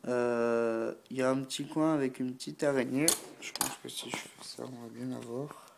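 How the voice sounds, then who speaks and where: A man's voice: medium pitch, steady tone, moderate pace, creaky, background noise. A man with a stuffy, blocked nose from a cold, unhurriedly talking through a painting tutorial and thinking aloud with many fillers. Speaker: miniature painting hobbyist.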